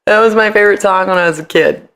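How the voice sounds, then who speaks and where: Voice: medium pitch, fast, heavy background noise. A middle-aged person with an American accent tells stories candidly as an interview guest, in slangy, profane language, acting out the voices in arguments. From United States. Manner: animated, profane, slangy, acts out quoted arguments. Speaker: podcast guest, punk band singer.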